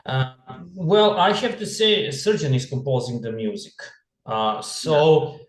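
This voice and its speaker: A man from Serbia, answering interview questions in English over a video call, halting and full of "you know". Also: mid-range voice, very animated, echoey room. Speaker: band member.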